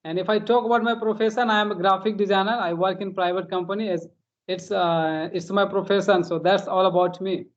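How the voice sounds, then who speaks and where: A man's voice: sits high, fairly even intonation, brisk, dull audio. A man from India chatting casually in as a practice conversation, hesitant and repeating phrases as he goes. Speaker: host.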